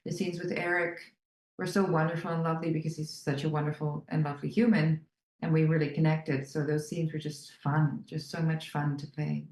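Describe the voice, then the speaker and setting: German-accented actress, thoughtful interview answers, low register, roomy sound. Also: female voice, flat delivery, brisk, darkish tone. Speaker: actor. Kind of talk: press junket interview.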